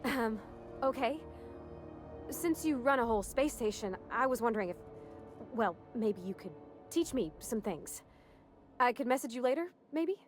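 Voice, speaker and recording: A shy young female mechanic from a video game, acted by a woman, with a fairly high voice. She speaks in an earnest, halting way, eager underneath, with music clearly playing behind her. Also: animated, lightly acted. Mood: shy, nervous. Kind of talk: video game character acting.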